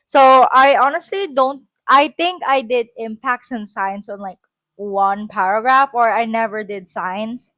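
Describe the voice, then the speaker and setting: A woman's voice: fairly high pitch, a little slow, a bit bright, dry recording. A woman with a Filipino accent reads her own school essay aloud, breaking off for chatty, self-correcting asides.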